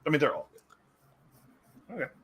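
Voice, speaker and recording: A man's voice: brisk, a bit bright. A man chatting casually and informally as a panelist on a group show. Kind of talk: casual chat.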